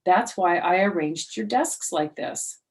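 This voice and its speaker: A woman's voice: fairly low pitch, measured pace, lightly acted. Woman as storybook male teacher: patient, polite, firm, explaining to a class. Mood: instructive. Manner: clear instructive classroom talk, polite but firm.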